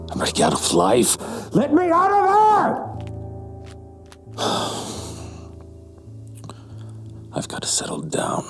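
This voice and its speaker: A man playing a bitter, menacing psychopath, muttering a brooding audio drama monologue in a gravelly voice. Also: rumbling low, full character voice. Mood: desperate, bitter. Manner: brooding, slow, muttering to himself. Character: trapped, bitter male psychopath narrating his downfall, menacing.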